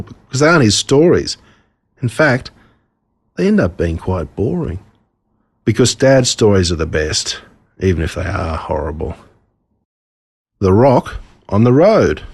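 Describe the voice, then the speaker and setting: A man with an Australian accent reading a scripted storybook in an expressive storytelling manner. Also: lowish voice, gravelly, breathy edge, dry recording. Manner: expressive, storytelling in a child narrator's words. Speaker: author reading his own story.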